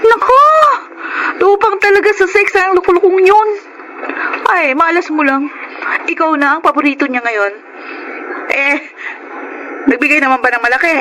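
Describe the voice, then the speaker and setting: An actress plays a nagging, streetwise Filipina in a radio drama. Her voice is high and exclamatory, and there is clear noise behind it. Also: natural voice. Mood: chiding. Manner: brisk, exclamatory, chiding. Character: blunt, streetwise female friend, nagging yet sympathetic. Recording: noisy background hiss or ambience.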